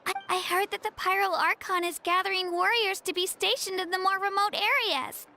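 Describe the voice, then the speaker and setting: A woman's voice: very high-pitched, steady tone, fairly quick, sharp tone, slight background noise, full character voice. A woman voicing an eager, cheerful young girl warrior in a video game, bubbly, earnest and a bit bashful. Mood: upbeat, earnest.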